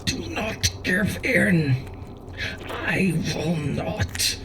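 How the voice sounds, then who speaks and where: A performer in a dramatic ASMR roleplay playing a grave, defiant warlord battling sickness, with halting, theatrical delivery. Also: medium pitch, animated, distant mic, background noise. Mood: anguished defiance.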